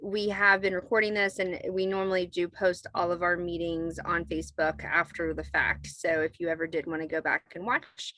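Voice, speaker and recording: A woman's voice: on the low side, flat delivery, normal speed, few pauses, pushed and bright, dry recording. A woman leading an online committee meeting, talking in a semi-formal, conversational way as facilitator. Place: video call.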